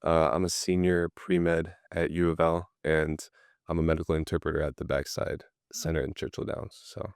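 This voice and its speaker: Young male student guest, measured, deep and level, clean dry recording. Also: little pitch movement, smooth, no echo. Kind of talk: self-introduction.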